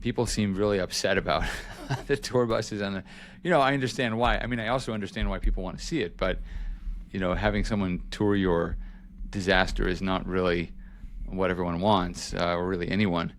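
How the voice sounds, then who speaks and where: A man's voice: deep voice, fairly even intonation, fairly quick, slight background noise. A man hosting a podcast as a journalist, giving casual news updates in a chatty, self-correcting way.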